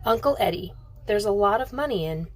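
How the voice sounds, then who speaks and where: A woman's voice: mid-range voice, animated, fairly quick, close to an everyday voice, rough recording. A woman voicing a worried, caring teenage girl in a storybook, earnest and pleading.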